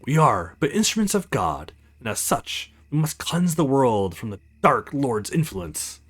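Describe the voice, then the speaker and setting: A man's voice: mid-range voice, very animated, unhurried. A man voicing a stern, commanding religious zealot of a hunter in a visual novel game, preachy in manner. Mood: zealous, impatient. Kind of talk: visual novel read-aloud.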